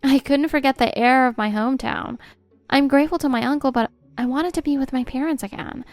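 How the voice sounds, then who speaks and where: Woman acting gentle, high-voiced young employee. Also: fairly quick. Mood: wistful, tender. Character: sincere, gentle young female restaurant employee. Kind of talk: manga voice acting. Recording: music behind the voice.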